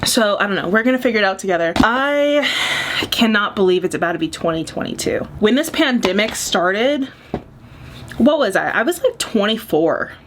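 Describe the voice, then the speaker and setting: Woman, 20s, casual beauty vlogger, chatty, candid, joking, mid pitch. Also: animated, moderate pace, slight background noise. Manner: chatty, candid, jokey asides. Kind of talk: casual get-ready-with-me chat.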